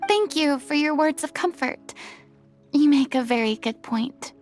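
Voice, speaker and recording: A woman voice acting a melancholy, earnest young woman in a video game, speaking in a formal, measured way. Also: high voice, faint music behind. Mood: sombre, subdued.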